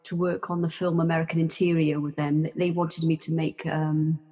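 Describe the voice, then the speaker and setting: A woman's voice: low-pitched, little pitch movement, normal speed. Rambling woman in an echoing room. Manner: rambling, many restarts and fillers, soft trailing delivery. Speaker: guest, textile artist. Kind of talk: casual chat.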